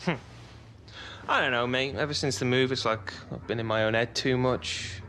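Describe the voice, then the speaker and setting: A man's voice: mid-range voice, steady tone, moderate pace, bright, forceful tone, a little hiss or hum, close to an everyday voice. A man with an English accent playing a chatty, cocky young footballer in cinematic game dialogue. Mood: downbeat. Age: young adult. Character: cocky, chatty young male footballer, jokey best mate. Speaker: actor in a football game's story mode.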